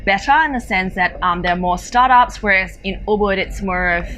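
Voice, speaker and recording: A woman's voice: mid-range voice, a little fast, a bit bright, a little hiss or hum. Woman from Malaysia, casual interview guest. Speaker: interview guest, digital marketer. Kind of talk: casual interview answers, giving advice.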